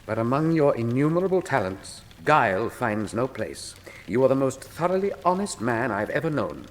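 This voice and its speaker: Theatrical, precise gentleman detective played by a man. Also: mid-range voice, big pitch swings, normal speed, slight background noise, lightly acted. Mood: fond, wry. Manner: theatrical, precise, formal diction. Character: shrewd, commanding English gentleman detective, affectionate but superior. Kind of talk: radio drama acting.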